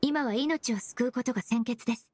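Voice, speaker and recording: Actress doing calm polite lady detective in a game, highish voice, methodical, bit of background noise. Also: normal speed, lightly acted. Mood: calm, businesslike. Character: composed, analytical young woman perfumer and forensic investigator. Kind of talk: Japanese game dub dialogue.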